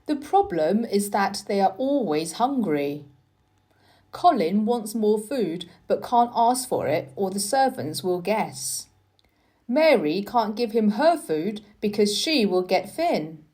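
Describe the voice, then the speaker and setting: A woman reading the part of a cheerful, loyal country boy in a storybook, in a lively, explanatory manner. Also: mid-range voice, slow pace. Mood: confiding.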